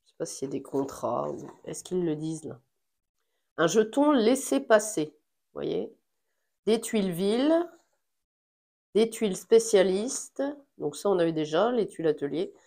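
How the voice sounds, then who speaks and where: A woman's voice: lowish voice, big pitch swings, a little slow, creaky, pauses often, warm tone. A woman with a head cold chatting casually as she unboxes a board game, thinking aloud with plenty of fillers. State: has a cold.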